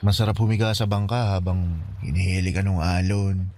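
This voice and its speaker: A man's voice: sits low, little pitch movement, fairly quick, dark tone, close to an everyday voice. A man playing remorseful friend Makoy. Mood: apologetic, hesitant. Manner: hesitant, apologetic. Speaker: radio drama actor. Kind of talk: Tagalog radio drama acting. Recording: radio drama with background music.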